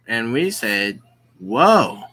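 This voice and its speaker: A gamer reading a visual novel aloud with casual commentary, halting and often explaining who is speaking. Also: mid-range voice, very animated, a bit bright, slightly rough. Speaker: gaming video host.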